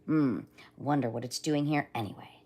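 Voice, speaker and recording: A woman's voice: very deep voice, moderate pace, warm tone, breathy edge. A woman voicing a suspicious, grumbling male wild boar in a storybook, muttering under his breath. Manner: muttering, whispery.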